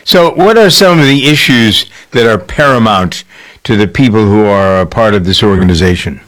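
A man's voice: fairly low pitch, lively intonation, normal speed, fairly bright tone. A man hosting a radio farm program, interviewing a guest in a conversational, probing way. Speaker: radio show host.

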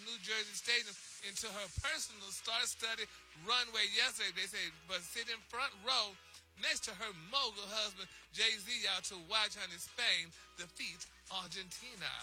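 A man's voice: high voice, fairly even intonation, moderate pace, sharp tone, faint music behind. A man voicing a flamboyant, sassy male celebrity gossip reporter who gushes dramatically on a comic radio show. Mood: gossipy. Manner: gushing, dramatic, peppers talk with "honey" and "y'all".

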